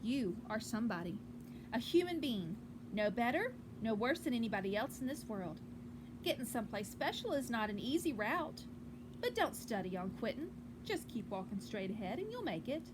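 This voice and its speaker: A woman voicing a wise, encouraging storybook grandmother, steady and firm. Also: fairly high pitch, normal speed, background noise. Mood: firm, comforting.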